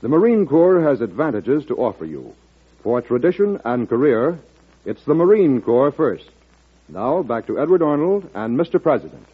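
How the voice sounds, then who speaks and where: A man's voice: mid-range voice, very animated, normal speed, husky. A male radio announcer reading a scripted recruitment spot in old-time broadcast style. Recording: tinny, narrow-band vintage radio recording.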